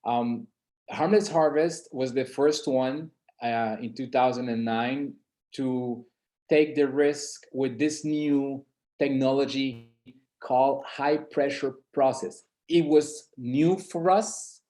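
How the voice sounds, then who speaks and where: A man's voice: medium pitch, takes it slowly, dark tone. A middle-aged man from Colombia's San Andres islands giving an informal webinar presentation, hesitant, with many fillers and restarts. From San Andres and Providence, Colombia.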